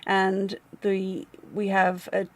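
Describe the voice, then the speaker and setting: A middle-aged woman with an Irish accent, answering interview questions about a grief center in a thoughtful, measured way, often pausing with um. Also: medium pitch, monotone. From Ireland. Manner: thoughtful, measured, with frequent um and restarts. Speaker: community manager at a grief center.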